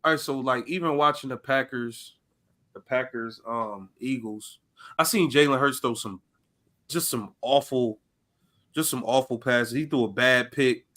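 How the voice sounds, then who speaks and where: Bright-voiced American man talking sports. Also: medium pitch, measured pace. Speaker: podcast co-host. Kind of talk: casual sports chat.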